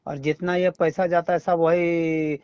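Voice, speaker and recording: Male caller, unhurried and halting, agreeable, dull phone-call sound. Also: fairly high pitch, level tone, a little mellow, smooth, no echo. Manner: agreeable, brief affirmations, halting phrasing. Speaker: caller, fellow devotee. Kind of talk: casual phone conversation.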